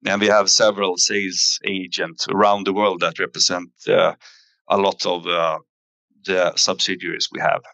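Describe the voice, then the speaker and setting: A man giving a company investor presentation in a halting way, pausing often with fillers. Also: low-pitched, level tone, creaky, sharp tone, no echo. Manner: halting, hesitant delivery with frequent fillers. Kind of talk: investor earnings presentation, semi-scripted.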